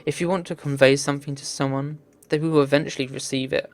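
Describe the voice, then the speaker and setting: A man's voice: mid-range voice, fairly even intonation, quick pace. A man reading visual novel text aloud in an earnest, theatrical way as a game playthrough host. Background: game music.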